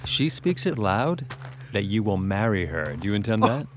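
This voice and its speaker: An actor playing a scheming, two-faced soldier villain in a Shakespearean tragedy, speaking in an insinuating, urgent, theatrical manner. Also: male voice, fairly low pitch, very animated, moderate pace, crowd noise. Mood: sly teasing. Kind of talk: Shakespearean tragedy acting in modernized English.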